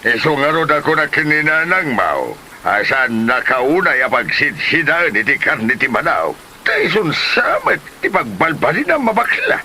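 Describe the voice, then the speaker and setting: Actor as a grumpy old Filipino father, highish swooping voice. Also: huge pitch swings, a little slow, sharp tone, a little hiss or hum, full character voice. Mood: indignant. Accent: Ilocano Filipino. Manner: scolding, indignant. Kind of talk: Ilocano comedy drama acting.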